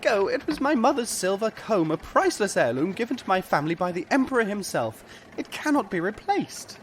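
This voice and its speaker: A male actor playing a pompous, fretful rich gentleman with genteel old-fashioned phrasing in a comic audio drama. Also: sits high, sing-song, a little fast, slight background noise. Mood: pompous pleading. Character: fussy, panicky rich gentleman, pompous and perfumed.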